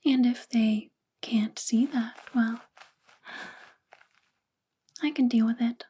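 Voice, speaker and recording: A woman playing a possessive, doting female boss in a soft-spoken ASMR roleplay, breathy and mostly whispered, intimate and coaxing, close to the microphone. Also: on the high side, fairly even intonation, slow. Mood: protective, controlling.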